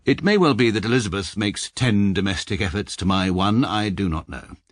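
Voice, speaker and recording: An English actor playing a stiff, pedantic middle-aged male barrister who narrates in a dry, clipped, deadpan manner for a radio comedy drama. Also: on the low side, normal speed, no room sound, lightly acted.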